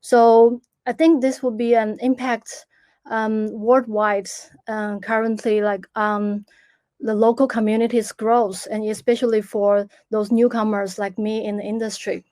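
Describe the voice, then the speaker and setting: Young woman, video call talk, a bit high, dry close sound, lots of ums. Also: little pitch movement, a little slow, darkish tone, no room sound. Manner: careful, hesitant with fillers. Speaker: speaker. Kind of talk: online talk.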